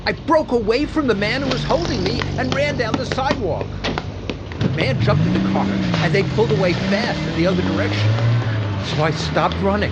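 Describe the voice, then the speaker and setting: A man playing a stammering, shaken robbery victim. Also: high-pitched. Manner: hesitant, stammering. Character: shaken middle-aged male factory owner robbed of payroll. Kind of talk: 1940s radio drama acting.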